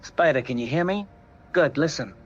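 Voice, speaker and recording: A man's voice: fairly high pitch, animated, normal speed, lo-fi sound, natural voice. A man playing an indignant, blunt homeowner phoning in a complaint to a radio show in an audio drama. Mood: exasperated. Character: exasperated, talkative male homeowner calling radio show. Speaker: radio caller. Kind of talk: scripted phone-in complaint.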